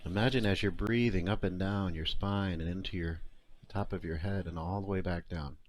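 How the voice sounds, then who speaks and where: A man leading a guided meditation as a coach, speaking in a calm, soothing, unhurried way with pauses between phrases. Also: low voice.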